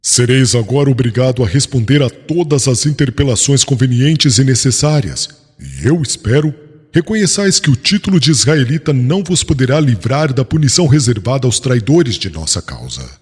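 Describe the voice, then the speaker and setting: Guy playing proud severe accuser, formal old-time speech, husky, big echoey hall, lively delivery. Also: medium pitch, moderate pace. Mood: stern. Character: stern, proud male religious prosecutor, young and scornful. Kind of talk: dramatized audiobook acting, archaic formal speech. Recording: long hall reverb, slightly husky sound.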